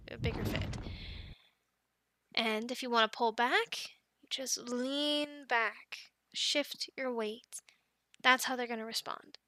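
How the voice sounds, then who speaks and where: A woman playing a friendly young Viking dragon-riding instructor in an ASMR roleplay, coaching the listener with reassurance and gentle teasing. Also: on the high side, background noise, close to an everyday voice. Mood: patient, reassuring. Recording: loud ambient sound effects behind voice. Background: loud ambience sound effects.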